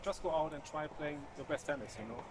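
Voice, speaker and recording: A man's voice: fairly high pitch, fast, noisy background. A man giving casual, reassuring advice with hesitant, repeated phrasing.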